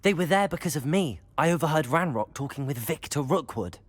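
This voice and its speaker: A young actor playing a brave wizard student in a game, polite and earnest. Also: male voice, fairly high pitch, animated, a little fast. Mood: urgent earnest.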